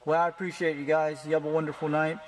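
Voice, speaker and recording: A man's voice: a little high, level tone, fast. A man, an amateur CB radio operator, talking casually and informally in a friendly way with radio slang. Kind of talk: casual hobbyist chat and radio talk. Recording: clearly noisy home video recording.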